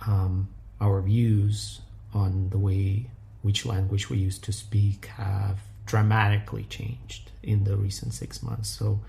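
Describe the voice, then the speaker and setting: A man's voice: lowish voice, little pitch movement, takes it slowly, gravelly, warm tone. A man from Ukraine hosting a podcast and asking a guest a question. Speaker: podcast host. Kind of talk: podcast interview.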